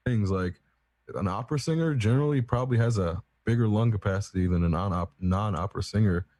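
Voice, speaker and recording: A man's voice: fairly low pitch, quick pace, darkish tone, no echo. A man in casual chat, explaining at length in a rambling, profane, self-assured way. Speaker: podcast co-host.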